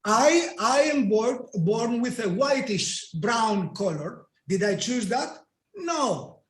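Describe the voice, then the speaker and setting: A Greek-accented man with a very high voice explains his ideas emphatically in an interview. He keeps asking rhetorical questions and answering them himself. The recording has a noticeable echo from the room. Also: animated, slow. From Greece. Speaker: guest coach and NLP trainer. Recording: echoey room.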